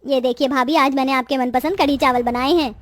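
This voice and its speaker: A woman's voice: sits high, steady tone, a little fast, a bit bright, no room sound, soft background music. A woman voicing a caring, devoted young aunt in a cartoon story. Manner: caring, firm.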